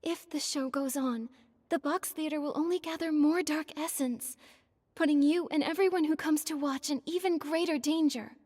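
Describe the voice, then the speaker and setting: An actress playing a calm, compassionate young heroine in a game cutscene, speaking gently and reasonably. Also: female voice, sits high, moderate pace, big-room sound, natural voice. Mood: earnest.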